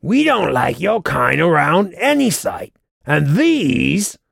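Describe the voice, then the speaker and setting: A squat, crude goblin guard from a fantasy storybook. He is surly and slow-witted, blustering in a raised, forceful pitch and tripping over muddled slang. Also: sing-song, no echo, clean studio sound, full character voice. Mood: suspicious, hostile. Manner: blustering, halting, muddled speech with rough British-style slang.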